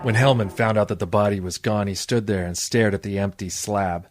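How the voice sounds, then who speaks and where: Low-voiced hardboiled radio detective, played by a man. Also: brisk, faint music behind, natural voice. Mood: deadpan narrating. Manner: deadpan, sardonic. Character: wisecracking hardboiled private detective, world-weary man. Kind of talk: old-time radio detective drama acting and narration.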